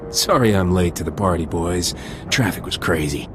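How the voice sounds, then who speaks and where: A man plays a dry-witted smuggler captain in a video game. His voice is fairly low, wry and self-assured, with music clearly playing behind it. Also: normal speed, close to an everyday voice. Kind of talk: video game cutscene voice acting.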